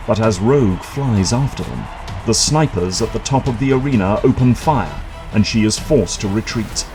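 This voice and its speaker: A man reading scripted dramatic narration for an audio drama in a measured, suspenseful manner. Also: lowish voice, music in the background, low-quality recording.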